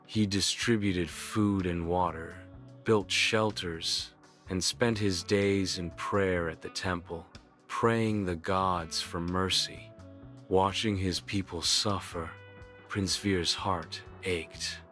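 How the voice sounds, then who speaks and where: Male narrator for bedtime tale: low voice, unhurried, solemn, formal, soft music bed. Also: fairly even intonation. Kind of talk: scripted bedtime story narration.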